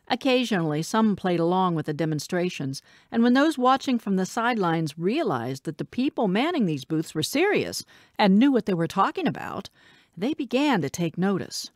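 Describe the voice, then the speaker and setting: Audiobook: woman reader as bookish twenty-something female teacher, wry and candid, animated pitch, dry close sound. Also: dry recording. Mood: wry, matter-of-fact. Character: bookish single schoolteacher in her twenties, wry, candid storyteller. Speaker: audiobook narrator. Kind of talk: scripted audiobook narration.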